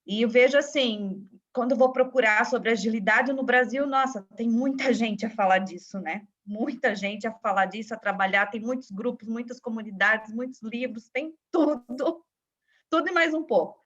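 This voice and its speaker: Chatty Brazilian woman with European Portuguese touches, high voice, over a muffled video call; organizer type. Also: moderate pace, a bit bright. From Brazil, São Paulo countryside. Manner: chatty, fills with "né" and "assim". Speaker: community organizer. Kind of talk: casual video call chat. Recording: dull lo-fi video call audio.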